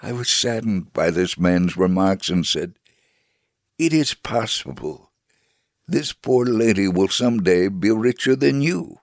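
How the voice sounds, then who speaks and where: A man giving a dramatic reading as a devout Holocaust survivor telling his story, measured, solemn and earnest. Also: on the low side, animated, dry recording, studio quality. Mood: solemn, assured. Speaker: dramatic reader. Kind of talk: dramatic first-person memoir reading.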